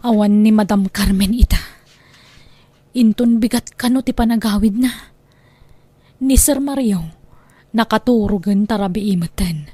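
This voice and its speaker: A woman's voice: medium pitch, unhurried, pauses often, dark tone, husky. A woman playing a sly, greedy young housemaid who steals, in a radio drama. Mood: furtive.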